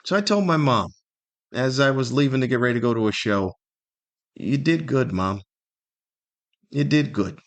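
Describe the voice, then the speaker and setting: A man's voice: medium pitch, expressive, moderate pace, pauses often. A middle-aged American man hosting a solo podcast, talking in a casual, rambling, conversational way and sometimes acting out imagined remarks. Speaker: podcast host and comedian.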